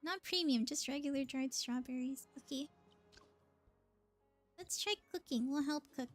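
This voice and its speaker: A woman's voice: high-pitched, a little slow, faint music behind. A woman live-streaming a video game, chatting casually and thinking aloud as she plays.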